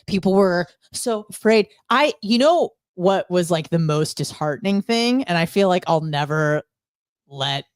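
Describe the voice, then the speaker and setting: Low-voiced American woman venting candidly, close and dry. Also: lively intonation, a little slow, no echo. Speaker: radio show co-host. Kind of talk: casual opinionated podcast chat, venting.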